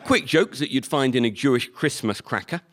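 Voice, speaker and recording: A man with a Liverpool accent delivers a stand-up monologue in a wry, deadpan way. His voice sits a little high and swings widely in pitch. Noise is clearly audible behind him. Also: moderate pace. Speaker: comedian. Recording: live recording with audience noise.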